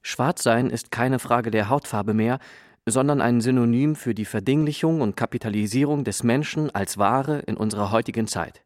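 A man with a mid-pitched voice reads formal scripted statements in a radio play. The sound is dry, with no room around him. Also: normal speed, a little mellow, no room sound. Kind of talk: scripted declarative narration.

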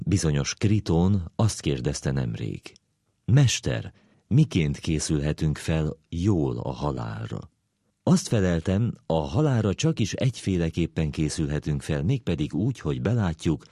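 A man with a deep, warm voice reads literary prose aloud. His delivery is deliberate and dryly ironic, and the sound is dry, with no room around it. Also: slightly rough, no echo. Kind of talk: literary essay reading.

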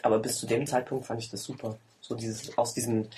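A man's voice: mid-range voice, flat delivery, brisk, a little mellow, a little hiss or hum. Chatty young man, laughing at himself. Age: 20s. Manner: chatty, self-mocking, laughs often. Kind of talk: casual chat. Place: home, at a table over tea.

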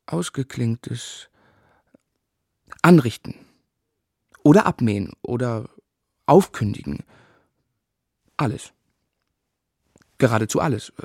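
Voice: medium pitch, gravelly, darkish tone, husky, dry recording, clean studio sound, full character voice. Eine Stimme aus einem absurden Hörspiel spielt einen grüblerischen, pedantischen Sprachspieler, der stockend Wörter wiederholt und hinterfragt. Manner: deliberate, halting, repeating and questioning words. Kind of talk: experimental absurdist German radio play, sound-poetry recitation.

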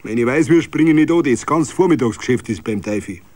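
A man's voice: mid-range voice, animated, a little fast, darkish tone, pitched above the performer's own voice, close to an everyday voice. A man voicing a stout Munich innkeeper in a radio story, grumbling and contemptuous, with a Bavarian accent. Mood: sullen grumbling. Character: indifferent, grumbling, scornful stout Munich tavern keeper. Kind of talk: dramatic reading of a radio story.

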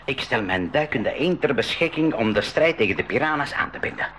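A man playing an excitable, gallant adventurer in a radio drama, pompous and theatrical. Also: medium pitch, brisk, natural voice. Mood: solemn. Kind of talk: 1980s school radio drama acting. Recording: very noisy old radio recording.

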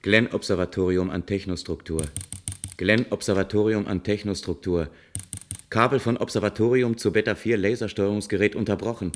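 An actor playing a sober, dutiful observatory radio operator in a German science-fiction radio drama, reporting in a factual, formal manner. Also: male voice, fairly low pitch, fairly even intonation, moderate pace, natural voice. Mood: urgent, formal.

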